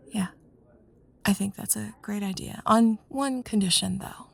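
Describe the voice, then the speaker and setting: A woman playing a young student in love with her best friend, in an intimate ASMR roleplay, speaking softly and hesitantly, often near a whisper. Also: mid-range voice, exaggerated voice. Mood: relieved, tender. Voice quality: soft breathy, husky, often near whisper. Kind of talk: intimate ASMR roleplay, one side of a dialogue.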